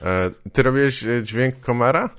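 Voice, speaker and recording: A man hosting a casual podcast interview, asking informal questions in a halting way. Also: mid-range voice, no room sound, studio quality.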